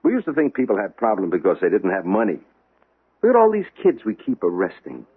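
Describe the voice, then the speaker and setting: Blunt american cop, deep husky voice that swings around, radio play. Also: male voice, huge pitch swings, a little fast, phone-quality sound, exaggerated voice. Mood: earnest, weary. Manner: blunt, plain-spoken. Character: earnest, impatient police lieutenant, plain-spoken brother. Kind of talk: radio drama acting. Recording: thin band-limited old radio recording.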